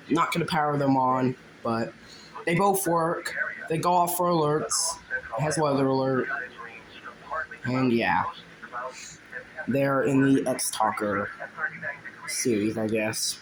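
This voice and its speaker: Casual rambling man, noisy recording. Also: a little high. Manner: rambling, trailing off with vague asides. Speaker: video host showing a personal collection. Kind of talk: casual unscripted show-and-tell.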